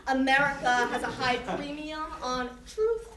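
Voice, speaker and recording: In an echoey room, a woman plays a thoughtful visiting artist from China giving a critical talk on stage. Her voice is high and she speaks slowly and deliberately, picked up from far off. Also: lively intonation, noisy background. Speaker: interviewed artist. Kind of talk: staged play reading, mock artist talk.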